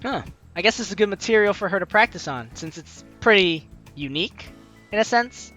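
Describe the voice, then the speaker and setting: A woman's voice: mid-range voice, animated, brisk, a bit bright. A woman doing a playful, slangy let's play, reading dialogue aloud and commenting casually. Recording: faint music behind the voice.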